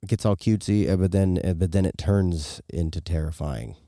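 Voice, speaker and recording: A man's voice: sits low, brisk, gravelly, dark tone, no room sound, studio quality. A man co-hosting a podcast in casual chat, with a loose, rambling, joking way of talking. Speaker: podcast co-host.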